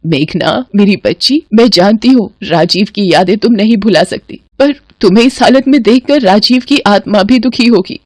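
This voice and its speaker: A woman playing a gentle middle-aged mother in an audio family drama, caring, earnest and worried. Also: medium pitch, normal speed, few pauses, no room sound, clean studio sound, lightly acted. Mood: gentle, regretful.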